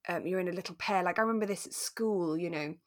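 A woman co-hosting a relaxed discussion podcast. Her voice sits a little low and she talks conversationally, thinking things through aloud. The sound is dry, with no room on it. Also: quick pace, dry recording. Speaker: podcast co-host. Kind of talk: relaxed chat discussing a radio drama.

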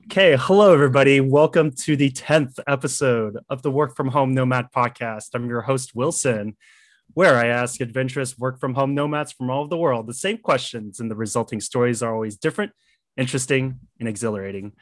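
A man's voice: mid-range voice, a little fast, dry recording. A man hosting an interview podcast, upbeat and casual, saying things like "right on" and using fillers. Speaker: podcast host.

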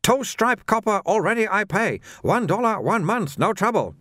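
A man's voice: sits high, very animated, normal speed, pushed and bright, no echo, studio quality, voice pushed up, exaggerated voice. A man voicing a small, wily, wheedling shopkeeper in an audiobook, speaking clipped broken English and repeating his phrases. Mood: indignant, insistent. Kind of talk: audiobook character voice.

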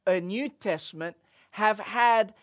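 A man's voice: high-pitched, slow. Man preaching with stories. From Egypt. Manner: storytelling, animated quoting, frequent restarts. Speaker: pastor. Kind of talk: sermon with personal story.

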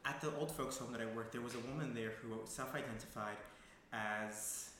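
A man's voice: mid-range voice, steady tone, normal speed, fairly bright tone. A man, a podcast guest, talking casually and arguing his point, swearing for emphasis. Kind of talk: casual conversation. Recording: very echoey room with faint noise.

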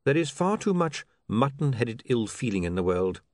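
An urbane older male ruler, acted by a male narrator for an audiobook. He speaks with dry, formal courtesy and quiet menace, his pitch moving freely, in a very close, dry recording. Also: sing-song, normal speed, no echo, lightly acted. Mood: veiled menace. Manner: dry, polite, pompously formal. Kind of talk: audiobook character voice.

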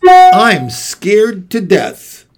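A man's voice: high voice, sing-song, extremely slow, loud music behind the voice, pitched above the performer's own voice, heavily acted. A man voicing a nervous, fussy farm wife who scolds and frets, in a storybook reading. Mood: fretful. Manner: fretful, scolding.

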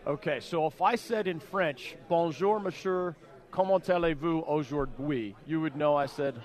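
A man's voice: a little high, moderate pace. A man hosting a radio interview, talkative and jokey as he asks questions. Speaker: radio show host.

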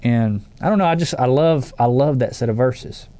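Earnest man with a warm voice. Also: mid-range voice, normal speed. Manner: earnest, emphatic, restarts phrases. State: stuffy with allergies. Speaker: podcast co-host. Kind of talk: conversational faith podcast.

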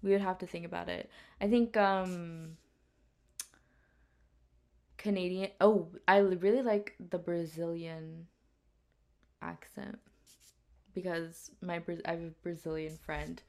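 A young woman talks slowly and easily, like a friend catching up. Her speech is loose and casual, full of fillers, with the odd swear word. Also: lowish voice, steady tone, a little mellow. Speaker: podcast co-host. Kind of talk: casual chat.

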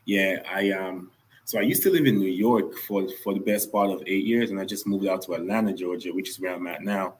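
A man's voice: sits low, fairly even intonation, a little fast, a little hiss or hum, poor audio. A young man from Nigeria, a guest on a podcast, telling his story in an earnest way and repeating points for emphasis. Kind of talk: casual podcast interview.

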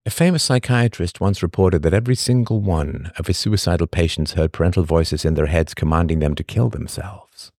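A man hosting a podcast, reading a scripted monologue full of rhetorical questions and emphatic pauses. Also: low voice, darkish tone, no echo, studio quality. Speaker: podcast host.

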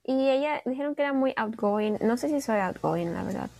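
A woman's voice: on the high side, lively intonation, brisk, vocal fry, darkish tone, dry recording. A woman, chatting casually and talkatively as a podcast co-host, slipping in the odd English phrase. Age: 20s. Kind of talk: casual chat.

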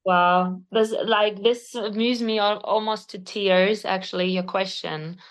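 A woman in her thirties speaks with a Spanish accent, her first language being Spanish. She talks as a guest in a direct, expressive and open way, pausing often on fillers, in a voice of middling pitch. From Spain. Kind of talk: casual interview conversation.

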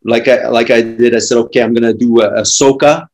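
A middle-aged man from France with a French accent, a drummer chatting casually and telling animated stories full of restarts. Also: medium pitch, fast, a little hiss or hum. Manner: animated storytelling with frequent restarts. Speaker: drummer and podcast guest. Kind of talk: casual conversation.

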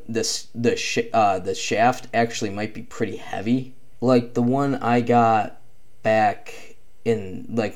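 A young man hosting his own podcast, talking casually and rambling with many pauses and restarts, with a slight cough. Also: lowish voice, darkish tone. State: slight cough, chest feels off. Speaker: podcast host. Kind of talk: casual solo podcast talk.

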